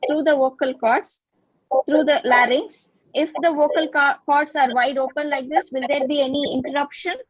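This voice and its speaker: High-voiced woman lecturing over a video call. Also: steady tone, moderate pace, dry recording, rough recording. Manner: patient, checks understanding with frequent "okay" and questions. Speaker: guest lecturer in phonetics.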